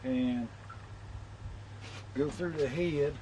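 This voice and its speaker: A man's voice: medium pitch, drawn-out words. A man giving a plain-spoken, casual how-to demonstration of rigging a fishing lure on a boat. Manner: plain-spoken, instructive, talks while handling tackle. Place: outdoors on a boat on open water. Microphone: camera mic, filmed close up. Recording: noisy outdoor camera audio.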